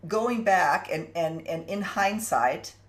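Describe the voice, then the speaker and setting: A woman co-hosting a casual chat, asking questions with enthusiasm and stumbling over her words when she gets excited. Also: on the low side, slow. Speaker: co-host, interviewer.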